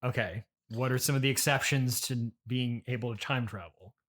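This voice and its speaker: A man playing a sceptical podcast interviewer in a comedy sketch, questioning in a hesitant, deadpan and incredulous way. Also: mid-range voice, normal speed, lightly acted. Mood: puzzled.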